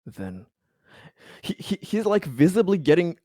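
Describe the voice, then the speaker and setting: Animated man chatting over a tabletop game. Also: high-pitched, measured pace, no echo, studio quality. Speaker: tabletop roleplaying player. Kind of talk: casual game chat, narrating his character.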